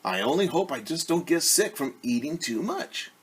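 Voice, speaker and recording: A man's voice: fairly high pitch, big pitch swings, normal speed, slight background noise, voice pushed up. A man voicing an anxious, easily panicked female hen in a storybook, with worried, exclaiming delivery. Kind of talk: storybook character voice.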